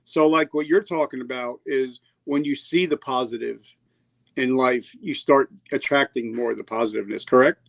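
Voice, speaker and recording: A man's voice: medium pitch, steady tone, moderate pace, gravelly. A man hosting a podcast, asking questions in an informal, plainspoken, conversational way. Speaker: podcast host. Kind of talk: conversational interviewing.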